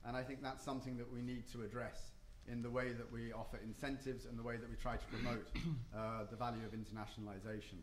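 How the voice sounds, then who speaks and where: A man's voice: medium pitch, flat delivery, fast talker, few pauses, echoey room, a little hiss or hum. A man giving a conference panel talk, hesitant, with frequent ums and restarts. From not Japan or the US. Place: hotel conference room.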